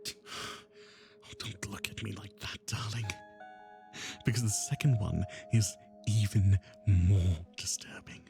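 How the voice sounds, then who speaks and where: Man playing a slow, gravelly yandere kidnapper. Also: lowish voice, steady tone, over music. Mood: menacing, tender. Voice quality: gravelly, often breathy whisper. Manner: slow, confiding, anguished and menacing. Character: obsessive, lovesick male yandere kidnapper, tender yet menacing. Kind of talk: roleplay ASMR monologue, intimate and dramatic.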